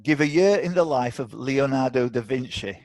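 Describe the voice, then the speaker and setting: A man's voice: mid-range voice, animated, normal speed, darkish tone, no echo. A man hosting a live online quiz, chatty and encouraging as he reads questions aloud. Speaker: quiz host. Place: online video call.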